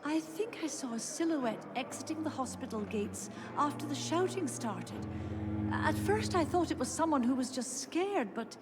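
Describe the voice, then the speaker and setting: A woman's voice: high-pitched, very animated, normal speed, over music. A woman playing a guarded, hesitant hospital nurse in a video game, plain-spoken. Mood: uneasy, guarded. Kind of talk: video game dramatic acting.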